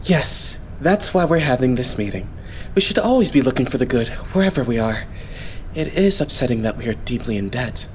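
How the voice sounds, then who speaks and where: A man plays an earnest, devout young idol leading his unit. His intonation moves around a lot as he politely lays out plans with steady optimism, in anime dub style over faint noise. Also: medium pitch, natural voice. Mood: hopeful, reassuring. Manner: polite, explanatory, measured phrasing. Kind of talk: anime game fan dub acting.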